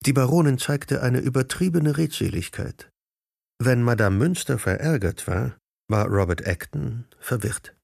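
A man as the composed narrator of a German radio drama, telling a literary story in a measured way. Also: mid-range voice, gravelly, a little mellow, dry recording, clean studio sound.

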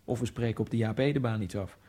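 A man's voice: lowish voice, fast, warm tone, slightly rough. An actor playing a lonely immigrant man obsessed with speed skating, narrating his thoughts in a wry, brooding radio-drama monologue. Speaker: first-person narrator of a radio play.

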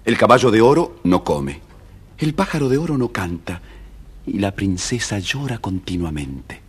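A man with a husky voice narrating a scripted children's story, dramatic and speaking straight to young listeners. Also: on the low side, very animated, normal speed.

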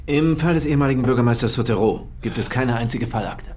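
Matter-of-fact police investigator played by a man, mid-pitched. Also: quick pace, a little mellow, a little hiss or hum, close to an everyday voice. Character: male police investigator, diligent, matter-of-fact team member. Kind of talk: radio drama acting.

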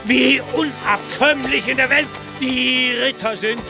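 Stubborn old knight-errant for radio drama: very high-pitched, slow, grandiose, music underneath. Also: male voice, creaky, heavily acted. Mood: grandly defiant. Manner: pompous, archaic chivalric declaiming. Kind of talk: radio play acting.

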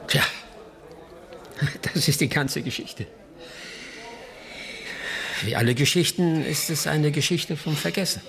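An actor playing a village hunter who tells a tale in a reflective, deliberate way, in a radio drama. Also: male voice, fairly high pitch, creaky, a bit bright, exaggerated voice. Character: village hunter telling a tale, measured and sly. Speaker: village storyteller. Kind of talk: audio drama storytelling.

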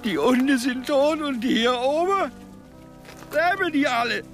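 An old, lonely widower broken by grief. His voice is very high, sharp and whimpering, and the words come slowly. It is radio drama, with faint music behind him. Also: animated, heavily acted. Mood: anguished, pleading. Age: older. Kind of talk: German radio drama acting.

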